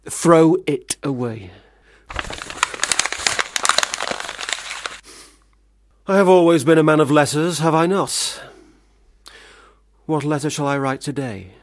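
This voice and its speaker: An actor in a radio play, a man, playing a failed, self-pitying young gentleman writer with formal, wordy diction. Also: on the high side, normal speed, close to an everyday voice. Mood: pompous, morose. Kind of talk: radio play monologue and dialogue.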